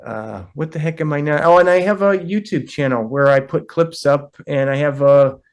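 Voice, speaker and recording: A man in his fifties, a podcast guest, chatting casually and rambling with self-deprecating asides. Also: moderate pace. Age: 50s. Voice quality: deep voice. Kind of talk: casual chat.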